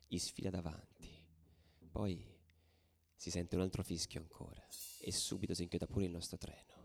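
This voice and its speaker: A man's voice: deep voice, vocal fry. A man acting as a combative football ultra in a radio play, telling his story in colloquial, dramatic style. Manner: colloquial, swinging from hushed to shouting. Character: angry, combative male football ultra recounting street battles. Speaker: actor narrating a monologue.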